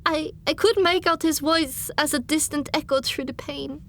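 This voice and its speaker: A woman narrating an audiobook in the first person, dramatic, grave, deliberate and intense. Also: high voice, steady tone, fairly bright tone, slight background noise.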